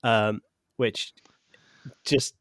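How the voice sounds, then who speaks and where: A man co-hosting a podcast, talking about a film in a measured, thoughtful way. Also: mid-range voice, steady tone. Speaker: podcast co-host. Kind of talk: casual film discussion.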